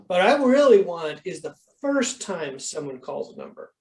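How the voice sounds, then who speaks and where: Instructor, mid-pitched, informal coaching Q&A on a video call, explanatory and conversational. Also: sing-song, normal speed, vocal fry. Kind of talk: informal question-and-answer coaching.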